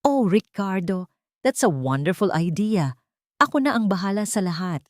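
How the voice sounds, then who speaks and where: Woman as a sweet-talking high-society wife. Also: sing-song, normal speed, a little mellow, no echo, clean studio sound, lightly acted. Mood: delighted. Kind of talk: audio drama storytelling.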